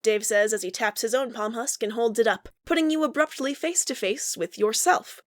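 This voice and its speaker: Female narrator for audio fan fiction, fairly high voice, scripted storytelling. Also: moderate pace.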